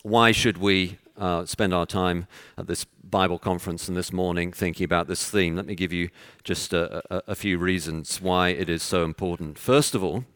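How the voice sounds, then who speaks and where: A man with a Texan accent preaching a conference sermon in an earnest, conversational way, pausing as he goes. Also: deep voice, steady tone, fairly bright tone, dry recording, clean studio sound. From Texas. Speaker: visiting preacher. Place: church conference.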